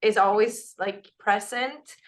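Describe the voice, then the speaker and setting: A woman singer with a naturally raspy voice, answering interview questions in a loose, candid way, full of "like" and "you know". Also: mid-range voice, fairly even intonation, slow. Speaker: band singer.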